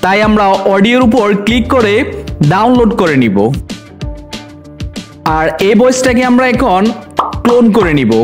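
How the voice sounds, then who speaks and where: High-pitched man narrating a tutorial. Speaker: tutorial presenter. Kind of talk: step-by-step screen tutorial narration. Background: background music.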